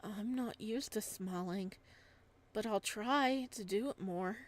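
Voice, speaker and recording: Woman voicing a reserved, quiet young fisherman. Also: mid-range voice, takes it slowly, close to an everyday voice. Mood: shy, grateful. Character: gruff, shy, soft-spoken young male fisherman.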